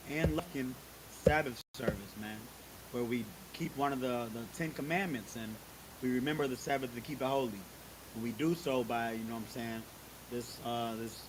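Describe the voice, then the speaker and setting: A man casually introducing a religious service, talking in a loose, repetitive way with many filler phrases. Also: mid-range voice. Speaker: congregation member hosting a religious service. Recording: steady background hiss.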